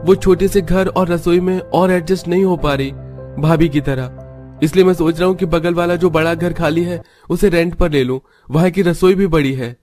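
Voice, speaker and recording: Man acting as earnest young husband, lifted pitch, polite, gently reasoning, cartoon story. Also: normal speed, faint music behind, lightly acted. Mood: pleading.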